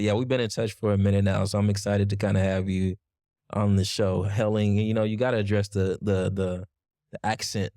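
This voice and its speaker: A man hosting a podcast, chatting in a relaxed, slangy way. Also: lowish voice, no echo, studio quality. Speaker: podcast host. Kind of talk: casual interview chat.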